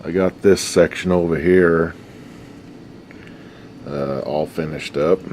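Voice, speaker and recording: A middle-aged man, slightly hoarse, chatting haltingly with many fillers while he fixes an old radio. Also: deep voice, fairly even intonation, a little mellow. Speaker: radio repair hobbyist. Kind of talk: casual workbench chat. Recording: noisy workshop recording.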